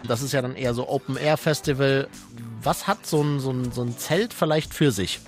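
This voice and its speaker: A man's voice: medium pitch, brisk, faint music behind. A man putting questions to a guest as an interviewer, in a casual conversational style.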